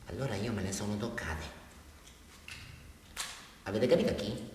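An actor playing a disturbed, childlike male criminal in a radio drama, rambling and halting through his confession in a southern Italian accent, swinging between hushed and loud. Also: lowish voice, slight background noise, close to an everyday voice. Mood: hushed, wistful. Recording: distant, very echoey reverberant hall.

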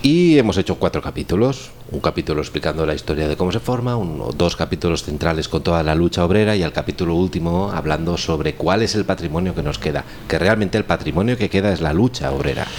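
Hombre español de voz grave, hablar titubeante. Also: male voice, low-pitched, animated, fast, few pauses, darkish tone, a little hiss or hum. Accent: Spanish, from Spain. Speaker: guest, course instructor and audio documentary maker. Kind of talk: casual round-table talk.

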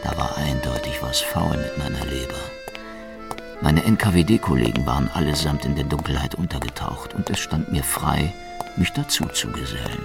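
A man acting a cynical, world-weary secret police investigator in a noir radio play, with a gravelly, sardonic delivery. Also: a bass voice, moderate pace, loud music behind the voice. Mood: brooding, dry. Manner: sardonic, dry. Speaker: actor and narrator in a radio play. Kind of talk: noir radio drama, hard-boiled first-person narration.

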